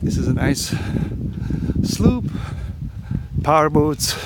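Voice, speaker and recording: A man casually narrating a walking tour of a marina, talking slowly with frequent fillers, recorded outdoors on a handheld phone. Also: on the high side, big pitch swings. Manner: slow, halting, with frequent fillers. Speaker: sailboat owner vlogger. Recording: loud wind noise outdoors.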